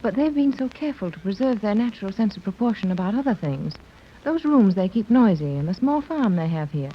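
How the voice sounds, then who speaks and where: A middle-aged woman playing a gentle, worrying mother in a 1930s radio serial, with polite, motherly phrasing. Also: medium pitch, expressive, a little fast, lightly acted. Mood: concerned. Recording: dull, noisy old 1930s radio recording.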